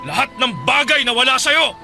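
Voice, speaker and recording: An actor playing a harsh, exasperated husband in a Filipino radio drama, scolding and emphatic. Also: male voice, very high voice, expressive, brisk, creaky, bright, forceful tone, heavily acted. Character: exasperated husband who left his wife, harsh, fed up. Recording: music behind.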